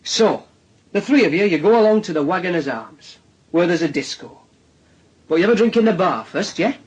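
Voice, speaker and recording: Northern english cop in a radio play, husky highish male voice, sarky, keeps prodding with questions. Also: animated, a little fast, lo-fi sound. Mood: sly, teasing. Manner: insistent questioning with tag questions and dry sarcasm. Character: needling, sardonic male police detective grilling a suspect. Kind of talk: naturalistic radio drama dialogue.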